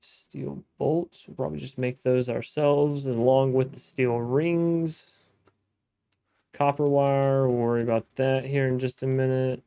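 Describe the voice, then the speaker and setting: A man's voice: mid-range voice, fairly even intonation, unhurried, creaky, dark tone, clean tone, dry recording, clean studio sound. A man hosting a gameplay video, talking casually to himself as he plays, thinking aloud with restarts. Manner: chatty, thinking aloud, frequent restarts and self-talk. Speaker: gaming let's-play host.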